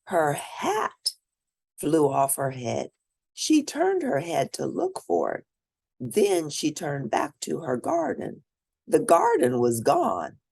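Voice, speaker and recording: A woman storyteller reads aloud to young children from a picture book. Her voice is low with some gravel in it, and she swings widely in pitch as she tells it, animated and expressive. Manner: animated, pauses to point out pictures.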